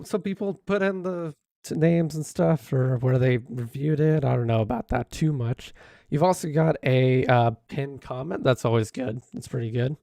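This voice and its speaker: A hoarse man live-streaming, casually critiquing videos with many fillers and restarts. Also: medium pitch, darkish tone, dry recording, studio quality.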